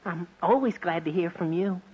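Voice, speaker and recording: An actor playing an eager, gawky teenage son, earnest and gee-whiz, in a 1940s radio comedy. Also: male voice, high voice, moderate pace, natural voice. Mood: lovestruck eagerness. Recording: noisy, muffled old 1940s radio recording.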